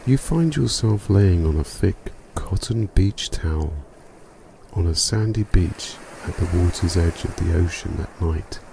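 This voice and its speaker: Deep, creaky-voiced man guiding meditation. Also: background noise. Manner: calm, soothing, unhurried delivery. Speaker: meditation guide. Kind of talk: scripted guided meditation sleep story. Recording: music bed behind voice. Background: music.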